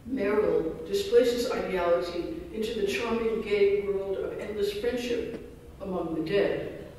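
Male keynote lecturer reading a scholarly paper, high-pitched, distant mic in a reverberant hall. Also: unhurried, darkish tone, a little hiss or hum. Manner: dense scholarly phrasing, self-deprecating asides. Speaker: keynote speaker. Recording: echoey hall recorded from far off.

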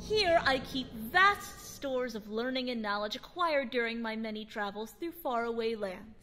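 A woman's voice: fairly high pitch, lively intonation, moderate pace, sharp tone, big-room sound, a little hiss or hum. A woman voicing a boastful, pompous young boy aristocrat in a radio drama, with a theatrical manner.

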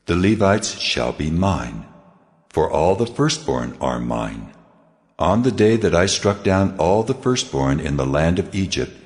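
A man's voice: deep voice, darkish tone. A man playing God in a dramatized scripture reading, speaking with solemn authority. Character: God of Israel, commanding, solemn divine voice. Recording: long cavernous reverb.

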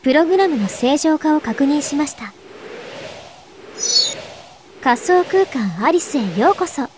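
A woman's voice: high voice, big pitch swings, normal speed, a little hiss or hum. A woman voicing a polite female AI system announcer for a game's virtual world, speaking in polished, formal announcement style. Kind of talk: scripted promotional announcement. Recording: loud music under the voice.

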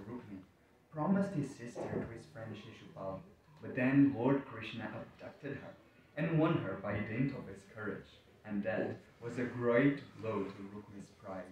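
A measured, patient nobleman read by a man in an amateur stage drama. His voice has a middling pitch and comes from far off in a very echoey hall. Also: lively intonation, a crowd in the background, close to an everyday voice. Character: calm, measured noble elder relative, explaining patiently. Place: wood-panelled hall, indoors.

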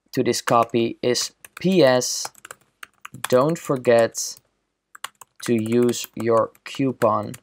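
A man with a gravelly, fry-tinged voice narrates a screen-recorded software tutorial. He explains each click in a steady, step-by-step way, at an ordinary middle pitch.

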